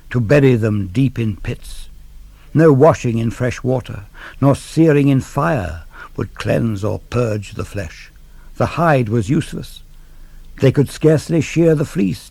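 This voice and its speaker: A man giving a dramatic radio reading of classical verse, solemn, measured and deliberate. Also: medium pitch, warm tone, no room sound. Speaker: reader of poetry.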